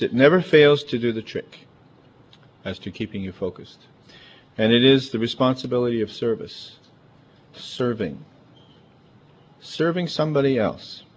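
A man with a big, emphatic voice, preaching a chatty, conversational sermon full of asides. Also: medium pitch. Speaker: pastor. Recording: dull lo-fi recording.

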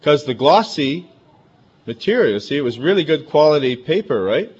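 A man's voice: fairly high pitch, very animated, normal speed, a little mellow, breathy edge. A man with a big, emphatic voice, preaching a chatty, conversational sermon full of asides. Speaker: pastor. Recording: dull lo-fi recording.

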